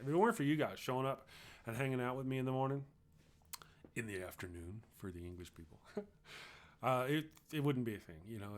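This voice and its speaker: A middle-aged man with a Texas accent hosts a casual livestream at an ordinary mid pitch. He rambles along in a loose, chatty way, restarting sentences as he talks to viewers. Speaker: livestream host. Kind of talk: casual livestream chat, reading viewer comments.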